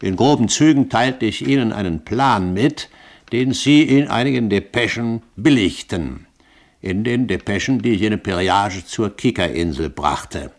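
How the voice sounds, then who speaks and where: Lofty, commanding gentleman pirate. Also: fairly low pitch, animated, measured pace. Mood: measured, assured. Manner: lofty, precise, condescending, rhetorical. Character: shrewd, haughty gentleman pirate captain, coolly commanding. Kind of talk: German radio play acting, 1970s.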